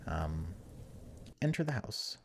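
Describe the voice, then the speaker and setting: A man's voice: deep voice, very animated, slow, breathy edge. A man hosting a gaming video, reading story text aloud dramatically and breaking off for casual, wry asides.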